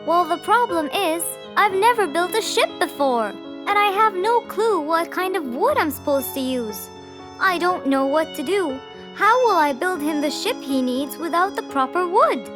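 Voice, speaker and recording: A woman's voice: very high voice, animated, normal speed, music in the background. A woman voicing an earnest, polite, anxious male jungle animal in a children's cartoon. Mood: worried, pleading.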